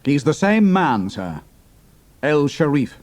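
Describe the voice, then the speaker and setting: An actor playing a cool, respectful officer spy in an audio drama, speaking in a measured, firm, deferential way. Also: male voice, a little high, very animated, darkish tone. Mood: earnest, deferential.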